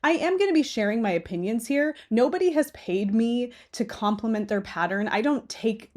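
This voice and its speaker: Woman knitting host, stuffy-nosed and nasal, chatty, opinionated, mellow tone. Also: on the high side, animated, normal speed. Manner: chatty and opinionated, often asking "okay?". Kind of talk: casual screen-share commentary.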